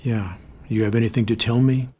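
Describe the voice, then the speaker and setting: Man playing stern commanding officer, firm, measured, medium-pitched voice, audio drama. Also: fairly even intonation, darkish tone, natural voice. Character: stern male superior officer, firm, measured, reluctantly disciplining. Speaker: boss of an agent. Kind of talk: audio fiction drama acting.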